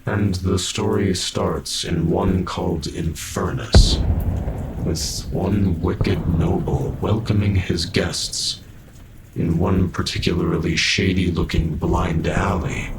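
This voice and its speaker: A man playing a kindly, centuries-old half-machine guardian in a fan audio drama, speaking in a halting, careful, softly formal way. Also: low-pitched, background noise. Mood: storytelling.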